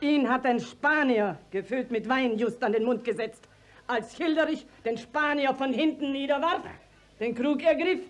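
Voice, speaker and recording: A woman's voice: high-pitched, moderate pace, natural voice. An actress in a 1950s radio play voicing an indignant, long-winded village widow who declaims her complaint insistently and in relished detail. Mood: insistent storytelling.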